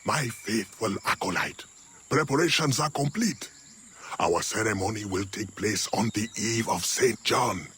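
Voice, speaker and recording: A man's voice: lowish voice, measured pace, fairly bright tone, slight background noise. An actor playing an ominous, ceremonious cult leader summoning his followers in a video game cutscene. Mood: solemn, commanding. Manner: solemn, ceremonious.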